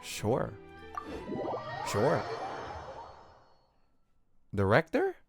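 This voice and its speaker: A young man with a very animated pitch and unhurried pace reacts live to a game. His commentary is casual and slangy, with game music faintly behind him. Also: gravelly. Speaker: game streamer.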